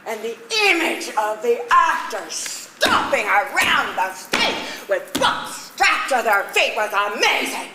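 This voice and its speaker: A woman's voice: on the high side, sing-song, slow pace, hardly any pauses. A woman on stage playing an effusive, exclamatory actress who worships her playwright, in a comedic play. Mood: excited. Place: live stage at a one-act play festival. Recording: roomy stage sound with noise.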